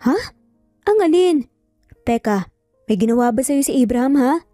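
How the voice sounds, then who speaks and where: An encouraging, cheerful big sister as a woman narrator plays her in an audio story. She questions eagerly in a high, lifted voice, with music underneath. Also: animated, normal speed, dark tone, no echo. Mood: puzzled, curious.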